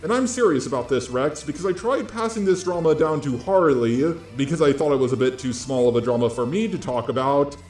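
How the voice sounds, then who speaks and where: A man's voice: a little high, animated, fairly quick, hardly any pauses, a little mellow. A man delivering scripted online commentary in a blunt, informal way, speaking straight to his viewers. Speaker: host of online drama commentary videos. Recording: roomy echo with music underneath.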